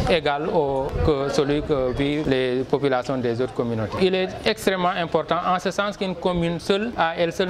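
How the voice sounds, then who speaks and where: A man, a local elected official giving a formal, measured statement to a news camera and listing his points one by one. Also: on the high side. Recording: crowd chatter in the background.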